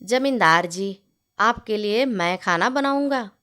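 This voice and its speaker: A woman voices a patient, clever gardener, a man serving his master in a storybook tale. The voice sits below the storyteller's own pitch and moves slowly, respectful and explanatory. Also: lively intonation, a bit bright, smooth. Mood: respectful, persuasive. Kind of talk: storybook character voice in narrated tale.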